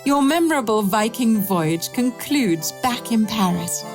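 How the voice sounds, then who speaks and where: A woman's voice: on the high side, animated, a little slow. A woman reading a polished, scripted promotional travel narration. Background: music.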